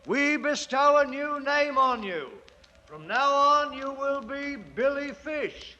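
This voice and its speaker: A man's voice: extremely high pitch, lively intonation, slow, sharp tone, dull audio, exaggerated voice. A man in a 1950s radio drama playing a bold, ambitious British ex-soldier who makes himself king, commanding and boastful in colloquial speech. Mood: imperious.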